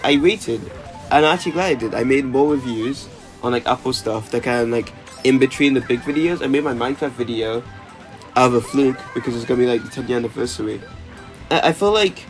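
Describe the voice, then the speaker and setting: A man's voice: mid-range voice, low-quality recording. A young man talking casually in a vlog, rambling with lots of stammered restarts, "like" fillers and casual swearing. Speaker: YouTuber.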